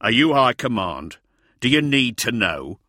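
A gruff imperial soldier , acted out by a male audiobook reader, full of contempt. He is curt and commanding, with a sharp, forceful edge and swinging emphasis, recorded very dry. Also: on the low side, expressive, normal speed, no room sound. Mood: sneering. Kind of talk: dramatised audiobook character voice.

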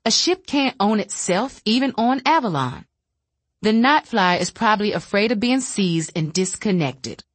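Woman voicing a calm earnest computer specialist. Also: medium pitch, normal speed, dry recording, voice pushed up, lightly acted. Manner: calm, explaining.

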